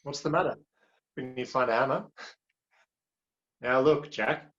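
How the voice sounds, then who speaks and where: An actor playing a patient, dry-witted police officer, measured in tone. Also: male voice, medium pitch, a bit bright, lightly acted. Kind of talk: broadcast drama acting. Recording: dull lo-fi recording.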